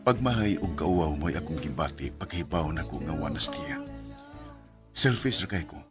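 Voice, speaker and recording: A man's voice: rumbling low, animated, normal speed. A Filipino man with a Cebuano accent playing a remorseful, guilt-ridden man in an emotional radio drama, speaking confessionally. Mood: regretful, sombre. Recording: music bed under the voice.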